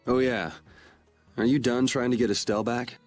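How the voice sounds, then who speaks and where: A man's voice: mid-range voice, steady tone, moderate pace, faint music behind, lightly acted. An actor playing a laid-back, blunt young swordsman hero in a video game, with a casual, dry manner. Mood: wry, relaxed.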